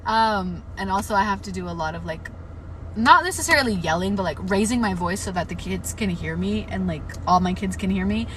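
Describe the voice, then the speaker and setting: A young woman talks loosely and frankly about books on her live stream, swearing freely and filling her speech with "like." Her voice is bright and edgy but worn a little hoarse. A faint noise sits under the recording. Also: medium pitch, lively intonation, fairly quick, rough recording. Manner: chatty, frank, swears freely, many "like" fillers. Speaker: book livestreamer. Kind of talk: casual live-stream book chat.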